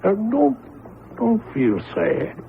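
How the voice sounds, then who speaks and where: An actor playing a gentle, weary old father near death in a radio drama, on a tinny, echoey recording. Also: high voice, very animated, takes it slowly, creaky, background noise, full character voice. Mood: weary, tender.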